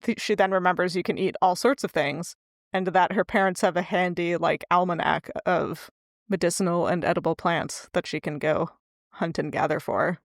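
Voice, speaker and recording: A woman's voice: fairly low pitch, fairly even intonation, normal speed, no echo. Woman chatting casually, playful and close-sounding. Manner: teasing, playful, laughs often. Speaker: podcast co-host. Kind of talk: casual book discussion chat.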